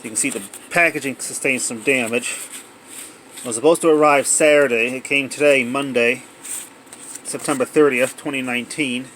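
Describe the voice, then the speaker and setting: A man's voice: medium pitch, steady tone, normal speed, fairly bright tone, slight background noise. A man talking casually and off the cuff as he narrates an unboxing video, recorded indoors at home on a handheld cell phone. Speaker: amateur unboxing video maker.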